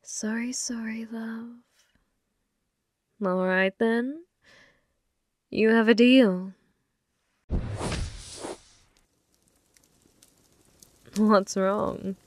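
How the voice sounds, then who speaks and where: A woman voicing a flirty, possessive female demon bartender in an intimate ASMR roleplay, teasing and unhurried, sometimes slipping into whispers. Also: on the high side, fairly even intonation, dry recording. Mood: taunting, seductive.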